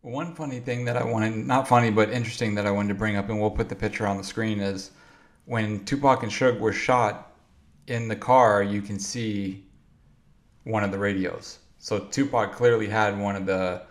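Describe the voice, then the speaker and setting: A man's voice: lowish voice, fairly even intonation, moderate pace. A man speaking in a casual, conversational way as an interviewer.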